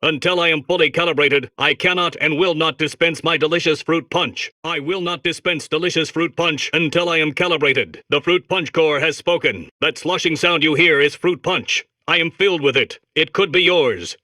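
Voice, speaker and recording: A man's voice: fairly high pitch, moderate pace, hardly any pauses, sharp tone, dry recording, clean studio sound. A man voicing a stubborn, boastful drink dispenser robot in a comedy game, making emphatic declarations. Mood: proud, tantalizing. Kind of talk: comedic video game character acting.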